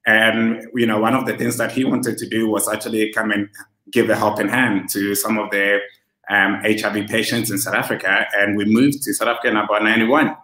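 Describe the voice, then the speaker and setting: A man in his thirties from Ghana, a relaxed interview guest who talks with many fillers. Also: on the low side, brisk. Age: 30s. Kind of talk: casual interview over a video call. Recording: roomy echo.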